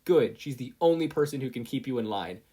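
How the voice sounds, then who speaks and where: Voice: mid-range voice, animated, a little fast, warm tone, husky. A performer voicing a teenager's inner conscience, bossy and sardonic, with a dry commanding manner.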